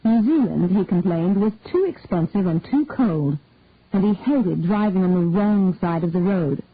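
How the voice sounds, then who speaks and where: A woman reading a short detective story aloud in a measured, deliberate narration. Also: fairly low pitch, animated, slightly rough. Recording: tinny phone-like dry sound.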